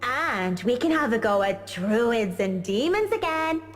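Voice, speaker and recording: A woman's voice: a little high, big pitch swings, measured pace. A woman voicing a timid teenage schoolgirl who talks in an anxious, coaxing way. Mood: pleading. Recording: reverberant game audio with music.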